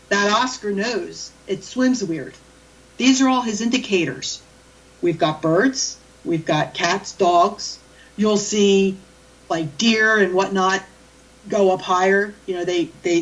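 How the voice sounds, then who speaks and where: Chatty woman, sounding far from the mic. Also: medium pitch. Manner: chatty, rambling, frequent stammered restarts. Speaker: animal communicator guest. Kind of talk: storytelling in a radio interview.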